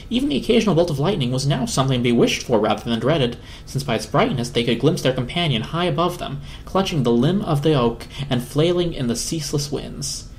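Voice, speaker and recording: A man's voice: medium pitch, a little hiss or hum. A man narrating a children's story as a dramatic reading.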